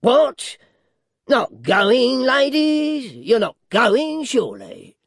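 A malicious storybook dwarf, pitched high and full of gleeful mockery. He lays on false courtesy and stretches his words to taunt. The sound is close and dry. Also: sing-song, breathy edge, no room sound, heavily acted. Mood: gleeful, mocking. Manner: mock-courteous, taunting, drawn-out emphatic phrasing. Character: grotesque malicious dwarf husband, gleefully mocking.

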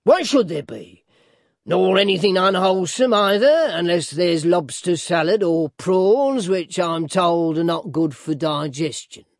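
Grotesque storybook dwarf, high voice, mocking false courtesy, malicious glee, drawn-out emphasis, dry sound. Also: male voice, animated, no echo. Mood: sly, taunting. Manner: mock-courteous, taunting, drawn-out emphatic phrasing.

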